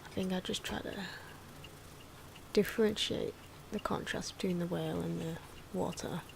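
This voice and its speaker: Low-pitched soft-spoken woman, noisy recording. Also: fairly even intonation, normal speed, gravelly. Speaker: artist narrating own drawing video. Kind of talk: soft-spoken ASMR drawing commentary. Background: pencil and marker drawing noises.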